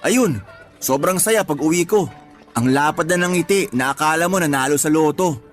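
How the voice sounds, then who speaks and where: A man's voice: fairly high pitch, moderate pace, faint music behind, natural voice. An actor playing an exasperated man who complains about his pregnant partner in colloquial radio drama dialogue. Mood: exasperated recounting.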